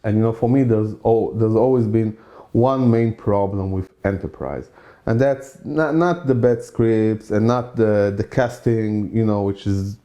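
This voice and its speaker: A man's voice: lowish voice, warm tone. A man from Israel, around forty, with an Israeli accent, hosting his own channel and talking in a loose, chatty way, with lots of "you know" and repeated points for emphasis. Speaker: channel host. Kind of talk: casual opinion monologue.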